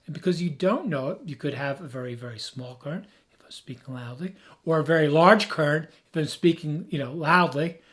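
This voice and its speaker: A man who has lost his voice, hoarse, giving an emphatic, rambling off-the-cuff tech explanation as a video host. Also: a little high, a little fast, a bit bright. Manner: emphatic, rambling, with frequent restarts.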